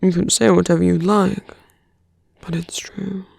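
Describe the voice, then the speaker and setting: A performer playing a seductive villain in an intimate ASMR roleplay, taunting and persuasive, often dropping to a breathy whisper. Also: mid-range voice, level tone, darkish tone, dry recording, studio quality, full character voice. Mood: taunting, menacing. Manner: slow, deliberate, taunting.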